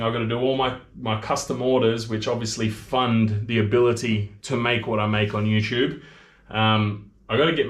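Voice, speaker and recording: A man's voice: mid-range voice, echoey room. An Australian man in his thirties chatting casually on a livestream, rambling between topics and reading out viewer comments, picked up by camera audio in his workshop. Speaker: maker hosting a livestream.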